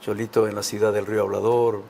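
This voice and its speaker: A man with a gravelly voice, talking casually and haltingly as a guest author. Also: on the low side, level tone, dark tone, slight background noise. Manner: hesitant, halting phrasing. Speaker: guest author, children's writer. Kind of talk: casual interview talk and storytelling.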